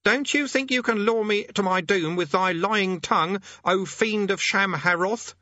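Man putting on a high-pitched nervous, pompous little conjurer. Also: a little slow, bright, forceful tone, dry recording, muffled recording. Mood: blustering command. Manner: pompous mock-archaic incantations, faltering. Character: short, nervous, bookish would-be demon summoner blustering pompously. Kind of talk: audiobook character voice.

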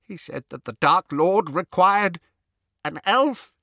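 A man voicing a wretched, groveling old male house-elf who wails shrilly or mutters in a muffled hush. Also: animated, takes it slowly, gravelly, dry recording, studio quality, exaggerated voice. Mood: hushed lament. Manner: shrill and frantic when wailing, muffled and hushed when recounting. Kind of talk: audiobook character voice.

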